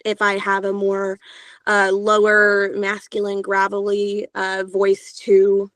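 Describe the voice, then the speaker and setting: A woman's voice: monotone, slow pace, a bit bright, no room sound. Chatty youthful-sounding woman narrator. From Georgia, US. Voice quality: higher-pitched, younger-sounding voice. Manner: chatty, lots of filler sounds and restarts. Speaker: audiobook narrator, interview guest. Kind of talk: casual interview talk.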